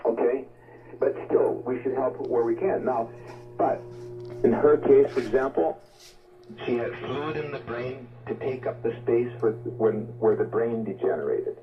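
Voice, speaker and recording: A man's voice: medium pitch, a little slow, music in the background. A man giving an unscripted, rambling and emphatic health talk on the radio, exhorting listeners, heard over shortwave. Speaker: guest promoting health supplements. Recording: phone-like shortwave radio, distant from mic.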